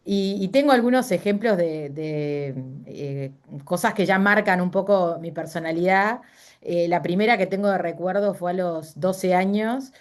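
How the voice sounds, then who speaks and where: A woman's voice: on the low side, unhurried. A middle-aged woman with a Uruguayan Spanish accent, speaking as a guest in a casual interview and telling personal stories with frequent fillers and self-corrections.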